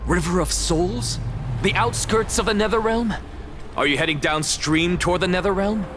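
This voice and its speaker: A man's voice: sits high, normal speed, over music, natural voice. An actor playing a lost male traveler in a video game, urgent and insistently questioning. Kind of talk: dramatic video game voice acting.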